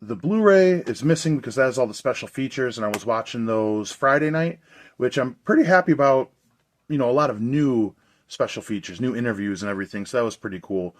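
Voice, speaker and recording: Slightly hoarse chatty guy. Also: medium pitch, animated, fairly quick. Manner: chatty, rambling, enthusiastic. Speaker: movie collector and reviewer. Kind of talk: casual video review.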